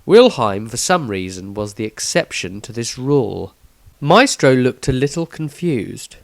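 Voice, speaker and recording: A man narrating a scripted fantasy audiobook as its author, with measured, dramatic phrasing. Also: medium pitch, very animated, creaky, no echo. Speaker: author reading own story.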